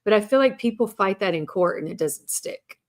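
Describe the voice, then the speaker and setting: A woman's voice: mid-range voice, animated, brisk. A woman co-hosting a casual live Q&A with her husband, easygoing, often laughing and teasing him. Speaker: podcast co-host.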